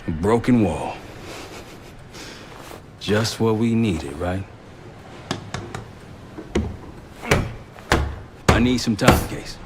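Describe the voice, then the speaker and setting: A man's voice: fairly low pitch, expressive, slow pace, noisy background. An actor playing a sullen, wounded, defiant male operative in a video game drama, bitter and sardonic. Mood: dejected, sardonic. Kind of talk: video game cutscene acting.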